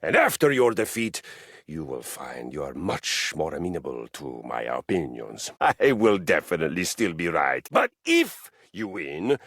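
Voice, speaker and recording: Game role: vain, jovially bellicose male Viking warrior. Gravelly voice, unhurried grandiose delivery. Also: rumbling low, huge pitch swings, a bit bright, dry recording, clean studio sound, exaggerated voice. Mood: eager, belligerent. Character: boastful, battle-hungry male Viking warrior, a personified treadmill. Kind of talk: theatrical video game character acting.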